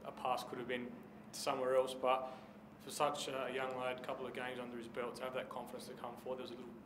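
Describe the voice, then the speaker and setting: A man's voice: medium pitch, completely flat delivery, quick pace, noisy background. A man, a reporter putting long, detailed questions to a coach at a press conference.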